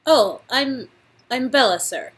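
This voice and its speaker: A woman's voice: high voice, lively intonation, slow, fairly bright tone, exaggerated voice. A woman playing a polite, plucky young aspiring actress in a comic dialogue reading.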